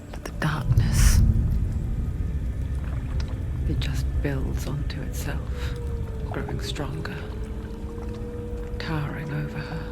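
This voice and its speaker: Hushed, whispering, ominous female narrator. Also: sits low. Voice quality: breathy, whispered. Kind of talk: intimate whispered game narration addressing the listener.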